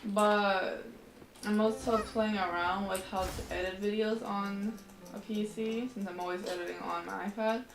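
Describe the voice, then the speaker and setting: A woman's voice: mid-range voice, fairly even intonation, moderate pace, reverberant, background noise. A woman livestreamer chatting casually while playing a game, rambling in a slangy, informal way. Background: faint game music.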